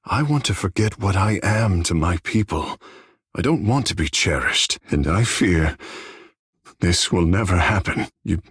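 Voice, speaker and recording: A theatrical male sea godlike from a fantasy video game, speaking in a husky, lowish voice that swings expressively. He is a florid, formal flirt who lingers on dramatic pauses, with a tender side beneath the swagger. The sound is dry and close. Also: dry recording, full character voice. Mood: vulnerable, yearning. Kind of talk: video game character dialogue.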